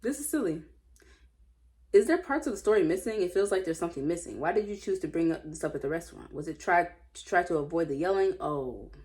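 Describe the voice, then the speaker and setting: Casting: woman with a lowish voice, informal read-aloud host, animated and slangy, chatty commentary. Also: fast. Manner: playful, animated reactions, slangy. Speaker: host reading Reddit stories.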